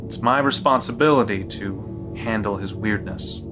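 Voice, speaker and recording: A man's voice: fairly low pitch, normal speed, music in the background, close to an everyday voice. A man playing a resigned father who explains horrifying things matter-of-factly in a scripted horror audio drama.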